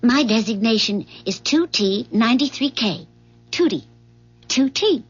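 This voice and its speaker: A muffled vintage radio drama recording of a woman who has survived a doomed future. Her voice is high and somewhat husky, delivered with grave earnestness. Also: lively intonation, measured pace. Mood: grave, earnest. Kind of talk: 1970s radio drama acting.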